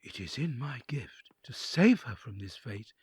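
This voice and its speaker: Conscience-torn envoy for audio drama , male actor: husky, widely varied pitch, anguished and introspective narration. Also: a little slow, no room sound, exaggerated voice. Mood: troubled, urgent. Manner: literary, expressive, introspective.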